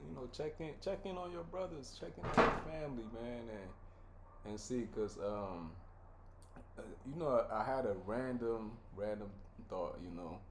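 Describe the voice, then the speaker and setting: A man's voice: mid-range voice, lively intonation, normal speed. A young man with an American accent, chatting casually and candidly as a podcast co-host, slangy and streetwise. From Africa, came from a war-torn country as a child. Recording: echoey room with faint noise.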